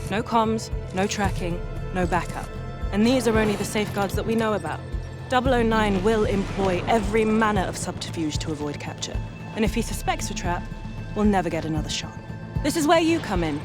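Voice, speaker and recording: Woman as efficient mission briefer, medium pitch, formal, precise, composed, game cutscene, clear music behind. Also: lively intonation, brisk, lightly acted. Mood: composed, serious. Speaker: briefing officer.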